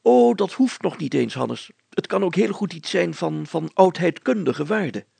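A man voicing an excitable, secretive village mayor in an audiobook, telling things emphatically and deliberately in a creaky voice. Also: high-pitched, dry recording, full character voice. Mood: eager, plotting. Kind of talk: acted audiobook dialogue.

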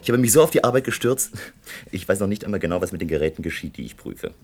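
An actor playing a curious electrical engineer in a German science-fiction radio drama, speaking in a natural, conversational, slightly teasing way. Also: male voice, deep voice, lively intonation, very fast, a little mellow. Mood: pensive, curious. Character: Paul Barnett, curious electrical engineer in a future conglomerate.

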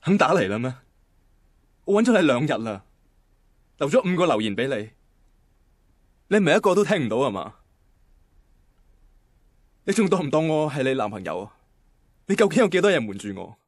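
An actor playing an easygoing but insecure young boyfriend in a radio drama, speaking Hong Kong Cantonese. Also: male voice, fairly high pitch, very animated, normal speed, pauses often, no echo, studio quality. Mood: angry, accusing. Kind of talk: radio drama acting and first-person narration.

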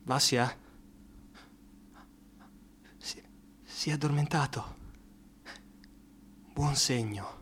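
A man's voice: mid-range voice, fairly bright tone, a little hiss or hum. An actor playing a worried, protective male friend in an Italian radio drama, earnest, insistent and theatrically emphatic. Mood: worried musing. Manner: theatrical, drawn-out emphatic words, urgent.

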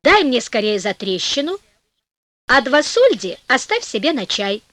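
Woman acting a high-voiced, cocky gentleman trickster. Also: very animated, unhurried, dry recording, exaggerated voice. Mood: smug, courteous. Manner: theatrical, mock-courteous, taunting. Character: cocky, penniless nobleman, polite and cunning trickster.

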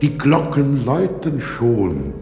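A man playing a coolly formal, evasive prison warder in a German radio drama, with a deadpan, pedantic manner. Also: mid-range voice, takes it slowly. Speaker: radio play actor. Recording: echoey reverberant space, music in the mix.

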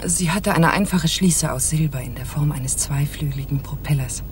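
An actress playing a mysterious, seductive married woman in a German noir radio play, speaking softly and intimately. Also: deep voice, moderate pace, natural voice. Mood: wistful, confiding. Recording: noisy 1980s radio play recording.

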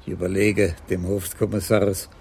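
A man's voice: deep voice, flat delivery, normal speed, darkish tone, a little hiss or hum. A male actor playing a shrewd, stern police commissioner in a radio crime play, speaking formally and pointedly with dry sarcasm. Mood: stern, reproachful. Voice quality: husky, gravelly. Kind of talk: German radio crime drama acting.

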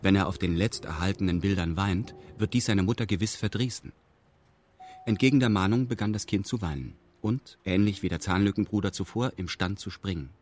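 An actor playing a detached first-person narrator in a radio drama, speaking in formal, pedantic, deadpan prose. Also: male voice, low-pitched, a little fast, faint music behind, close to an everyday voice. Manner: formal, deadpan, stilted indirect speech.

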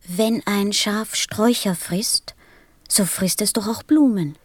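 A woman's voice: medium pitch, slow pace, dry recording. Earnest, insistent little boy prince, close and dry. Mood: curious. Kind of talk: German radio play acting.

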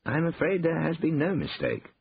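Man voicing a sly, rueful older gentleman, pitch swooping. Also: huge pitch swings, moderate pace, gravelly, slightly rough, muffled recording, full character voice. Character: shrewd older man, feigning regret, slyly composed.

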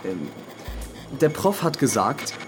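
An actor playing a young student in a radio drama, matter-of-fact and teasing, explaining and bantering. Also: male voice, medium pitch, big pitch swings, takes it slowly, music in the background. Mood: explanatory. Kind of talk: German radio drama acting.

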